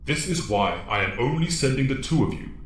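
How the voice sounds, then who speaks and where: An actor playing a stern, fatherly alien mentor in an audio drama, speaking formally and with measured authority. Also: mid-range voice, animated, roomy sound, natural voice. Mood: grave, instructive.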